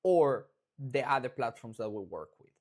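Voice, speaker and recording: An adult from Argentina with an Argentine Spanish accent, giving a casual, enthusiastic and informal walkthrough of software updates. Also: mid-range voice, animated, normal speed. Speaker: product maker presenting software updates. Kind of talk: casual product update demo.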